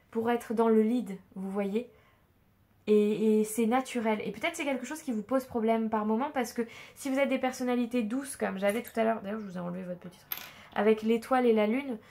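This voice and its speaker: A woman's voice: a little high, darkish tone. A woman with a blocked nose from a cold, giving a tarot reading in a loose, hesitant way, full of fillers and restarts. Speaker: tarot card reader. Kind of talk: casual spoken tarot reading to viewers.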